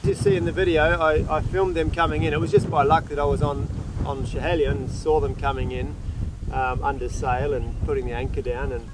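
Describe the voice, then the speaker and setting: A young man hosting a casual sailing interview outdoors by the sea, relaxed and chatty, asking questions. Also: fairly high pitch, brisk, hardly any pauses, noisy background. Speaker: sailing vlog host.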